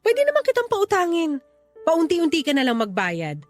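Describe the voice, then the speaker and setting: A woman's voice: extremely high pitch, huge pitch swings, normal speed, full character voice. A young woman with a Filipino accent playing a considerate but insistent neighbour in a radio drama, with music underneath. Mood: insistent, exasperated.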